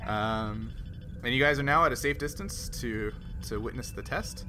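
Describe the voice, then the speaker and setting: A man's voice: medium pitch, normal speed, music in the background. A man narrating as game master of a tabletop roleplaying game, in casual talk. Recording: noisy group recording, room ambience.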